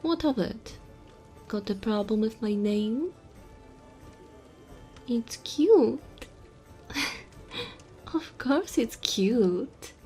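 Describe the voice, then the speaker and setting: A woman's voice: a little high, expressive, extremely slow, pauses often, dark tone, faint music behind. A woman playing a small, cheeky goblin bartender in a fantasy audio roleplay, teasing and chatty with the customer. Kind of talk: fantasy audio roleplay, addressing the listener softly.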